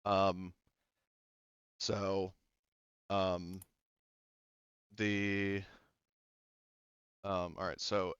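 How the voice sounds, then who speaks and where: Low, smooth-voiced male game master. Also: drawn-out words, long pauses, no echo, highs cut off. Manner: relaxed, hesitant with many fillers. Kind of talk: running a tabletop game over voice chat.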